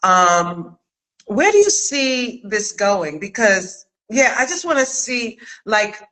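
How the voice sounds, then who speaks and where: Casting: middle-aged woman, chat host, bright forward voice, slow and halting delivery. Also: mid-range voice, very animated, low-quality recording. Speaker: interview host and director. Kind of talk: casual live chat interview.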